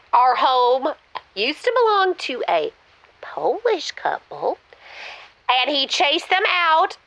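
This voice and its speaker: A woman's voice: high voice, bright, forceful tone, no room sound, heavily acted. A woman playing a distraught, needy female caller on a comedy radio show, earnest, plaintive and dramatic. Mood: insistent. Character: distraught, needy woman caller who suspects her partner.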